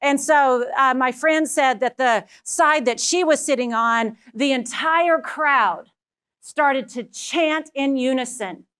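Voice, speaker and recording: A woman with a Texan accent, giving a motivational talk to a live audience in an energetic, personal way and speaking straight to the listeners. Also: high voice, takes it slowly, clear, resonant tone. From Texas. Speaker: keynote speaker.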